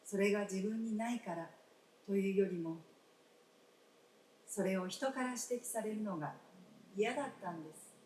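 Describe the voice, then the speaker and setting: A woman playing a frank, gentle wife in a radio drama, speaking in polite, old-fashioned feminine phrasing. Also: mid-range voice, normal speed, long pauses, lightly acted. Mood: wistful. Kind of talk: staged radio drama acting. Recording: roomy stage, distant mic, faint noise.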